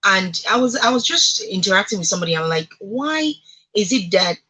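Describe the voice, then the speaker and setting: Bright, forceful Nigerian woman on talk radio. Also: mid-range voice, big pitch swings, a little fast, husky, poor audio. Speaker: radio talk show host. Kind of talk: impassioned radio discussion.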